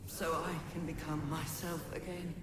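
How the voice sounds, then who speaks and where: An actor voicing a vast enslaved hive-mind being, solemn and imperious, speaking in grave, halting phrases with otherworldly processing. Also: little pitch movement, background noise. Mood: solemn, urgent. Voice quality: slow, weighty delivery. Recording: long cavernous reverb over rumbling ambience.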